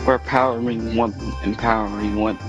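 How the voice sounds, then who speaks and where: A man's voice: lowish voice, level tone, brisk, muffled recording, music in the background. A man co-hosting a casual podcast, laid-back and crude in his banter. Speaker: podcast co-host.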